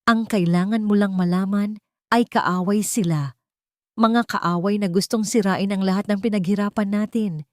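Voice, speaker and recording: A woman voicing a proud, angry middle-aged mother who gives sharp, commanding orders in a radio drama. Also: medium pitch, fairly even intonation, moderate pace, dry recording, clean studio sound, lightly acted. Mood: shouting.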